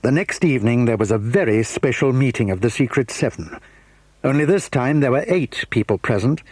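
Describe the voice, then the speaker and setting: Guy narrating a kids story, husky, calm n measured, dry sound. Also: medium pitch, dry recording.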